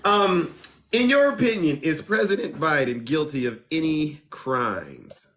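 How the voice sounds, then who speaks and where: A man hosting a live call-in show, theatrical, with a booming announcer style. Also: sing-song, measured pace, fairly bright tone. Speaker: show host.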